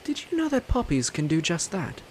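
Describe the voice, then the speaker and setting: An actor playing a suave, smug, flirtatious prince in a romantic roleplay audio drama, teasing and haughty with a sinister edge. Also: male voice, sits high, very animated, fairly quick, a little mellow, slight background noise. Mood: silky, menacing. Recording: music under the voice.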